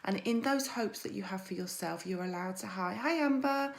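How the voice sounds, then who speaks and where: A woman coach streams live to her followers. Her voice is croaky and gravelly. She chats warmly and greets viewers by name between her points. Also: medium pitch, moderate pace. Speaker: mindset coach. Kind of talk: weekly social media livestream.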